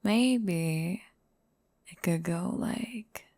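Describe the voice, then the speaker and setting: A woman playing a gentle, earnest singer-songwriter in a scripted ASMR roleplay, soft and hesitant. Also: lowish voice, drawn-out words, no room sound, voice pushed down, heavily acted. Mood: playful.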